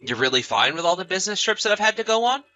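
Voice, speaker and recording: Man acting as an anxious, bright-voiced husband. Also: high voice, big pitch swings, very fast, faint music behind, full character voice. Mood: probing. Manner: apologetic, questioning. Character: anxious, trusting husband often away on business. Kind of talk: scripted dialogue in an audio drama story.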